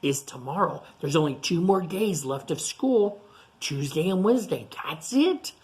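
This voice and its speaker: Low-pitched woman, animated storytime teacher. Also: measured pace. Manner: expressive, child-directed, repeats and explains simply. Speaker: teacher reading a story to young pupils. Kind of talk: picture-book read-aloud with simple explanations to young children.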